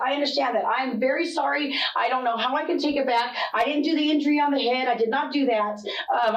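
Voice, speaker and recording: A woman's voice: high-pitched, muffled recording. A mother being questioned by police, answering with defensive, repeated denials. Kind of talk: police interrogation. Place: police interview room. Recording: echoey room, voice from far off.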